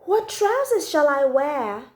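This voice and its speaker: A woman voicing an excitable, indignant little girl from a storybook, with emphatic, exaggerated phrasing. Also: sits high, slow pace, voice pushed up, full character voice. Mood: eager questioning. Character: excitable, indignant little girl telling her own troubles. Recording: echoey room.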